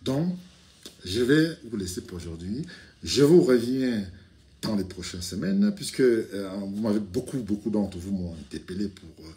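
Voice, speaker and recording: Man from Cameroon, lowish voice, blunt opinion vlog to camera, stumbling restarts. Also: measured pace. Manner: outspoken, rising to animated outbursts, many restarts and fillers. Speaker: video commentator. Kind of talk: casual political commentary to camera. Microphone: camera, speaking to it directly.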